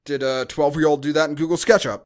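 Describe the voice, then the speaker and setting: A man's voice: on the high side, fairly even intonation, fast talker. A man co-hosting a podcast in casual film chat, with a sarcastic, mocking, sweary manner. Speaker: podcast co-host.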